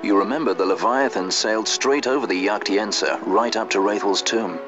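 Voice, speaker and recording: A man's voice: lowish voice, fairly quick, natural voice. A man playing a suave, cynical sky pirate in a fantasy video game, wry, nonchalant and a touch theatrical. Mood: wry, cool. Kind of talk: video game drama acting. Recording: muffled game audio under clear music.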